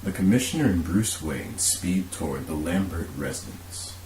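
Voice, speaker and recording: Male narrator for radio drama: low, animated delivery, roomy recording with faint noise. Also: moderate pace. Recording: roomy echo, faint hiss.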